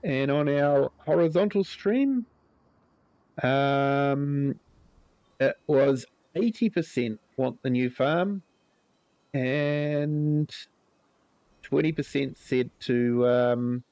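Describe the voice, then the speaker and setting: Slow-talking New Zealand man, slightly croaky. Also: medium pitch, no room sound, muffled recording. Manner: relaxed, chatty, greets viewers by name, many ums. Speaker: livestream host. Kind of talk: live gameplay commentary.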